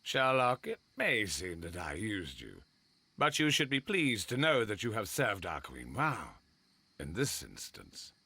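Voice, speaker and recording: A haughty British gentleman in a video game, a man with a raspy, creaky voice. He is pompous and imperious, swooping dramatically in pitch with a forceful edge. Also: wildly swooping pitch, unhurried, no room sound, full character voice. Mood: condescending. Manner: pompous, condescending.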